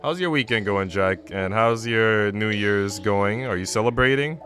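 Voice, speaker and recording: A man's voice: lowish voice, a little slow, fairly bright tone. A man chatting casually on a livestream as he reads a visual novel. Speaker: streamer reading a visual novel aloud to chat. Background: music.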